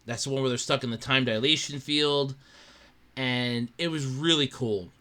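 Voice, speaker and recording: A man hosting his own podcast in casual solo chat, rambling with lots of restarts and a slightly raspy voice from allergies. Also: medium pitch, moderate pace. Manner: rambling, animated, with many restarts and fillers. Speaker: podcast host. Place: his own room at home.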